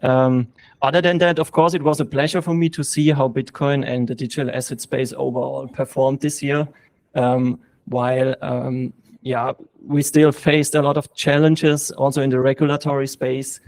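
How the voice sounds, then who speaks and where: Hesitant male panelist, mid pitch. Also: level tone, normal speed, darkish tone. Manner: hesitant, many ums. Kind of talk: panel discussion.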